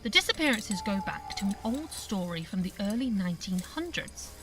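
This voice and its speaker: An actress playing a theatrical television presenter of a live ghost-hunting show, speaking with suspense in an audio drama. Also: female voice, mid-range voice, sing-song, moderate pace, music in the background, natural voice. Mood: ominous.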